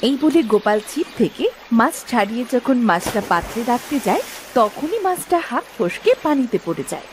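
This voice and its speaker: A woman giving scripted narration for an animated children's story. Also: on the high side, moderate pace, noisy background.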